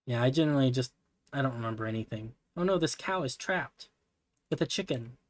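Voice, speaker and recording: Streamer guy, soft relaxing voice, mid pitch, dry close sound, rambly and self-deprecating, keyboard clicks behind. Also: animated, fairly quick, no echo. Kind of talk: casual livestream chat while building.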